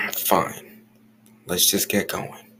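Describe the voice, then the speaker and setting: A man playing a stern, jealous, irritable office boss in an audio roleplay, curt and guarded, with a gravelly voice. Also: low voice, level tone, very slow, bright, forceful tone, a little hiss or hum, full character voice. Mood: curt, defensive. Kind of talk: scripted audio roleplay acting.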